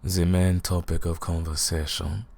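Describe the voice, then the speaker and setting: Very deep, gravelly vampire lord. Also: male voice, flat delivery, a little mellow, exaggerated voice. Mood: smug menace. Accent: put-on foreign accent. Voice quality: gravelly, husky, breathy deep voice. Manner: slow, menacing, mocking, theatrical. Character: arrogant, cruel male vampire lord taunting a captive. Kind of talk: ASMR roleplay monologue.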